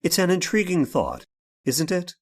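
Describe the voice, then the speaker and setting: An actor playing a courteous, ailing inventor of artificial limbs in a radio horror drama, speaking in a halting, rambling, genteel way. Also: male voice, high-pitched, huge pitch swings, noisy background. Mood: musing, intrigued. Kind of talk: old time radio horror drama acting.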